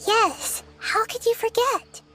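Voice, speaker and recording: A woman voicing a small, gentle, inquisitive girlish deity in a fantasy game, articulate and reflective. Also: extremely high pitch, takes it slowly, music in the background, low-quality recording. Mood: thoughtful. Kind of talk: animated fantasy game voice acting.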